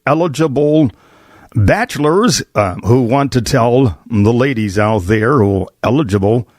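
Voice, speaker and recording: A man, a bishop hosting a podcast roundtable, asking questions in deliberate, formal phrasing. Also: medium pitch, lively intonation, darkish tone, no echo, studio quality. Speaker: podcast host. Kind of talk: roundtable interview.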